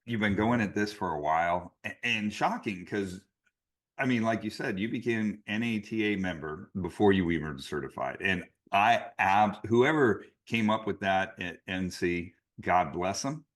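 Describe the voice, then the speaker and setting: Low-voiced man hosting a podcast. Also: measured pace, a bit bright. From California. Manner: emphatic, repeats himself for effect. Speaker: podcast host, athletic trainer. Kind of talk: casual podcast interview.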